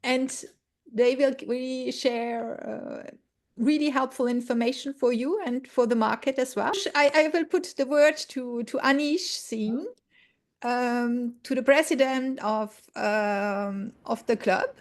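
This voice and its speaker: Slow, halting woman moderating. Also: on the high side. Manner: halting, many fillers. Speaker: webinar moderator. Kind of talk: webinar moderation.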